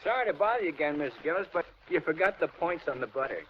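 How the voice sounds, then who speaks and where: A muffled vintage radio drama recording with slight noise. A man acts out a friendly, polite American milkman who chats quickly in a folksy manner. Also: on the high side, animated, close to an everyday voice. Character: friendly, chatty male milkman.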